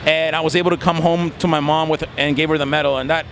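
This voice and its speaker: A man's voice: a little high, level tone, quick pace, creaky, a bit bright, a little hiss or hum. A man in his twenties from Mexico, speaking in a casual interview outdoors, earnest and reflective with many fillers. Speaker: interviewee, competitive gamer and law student.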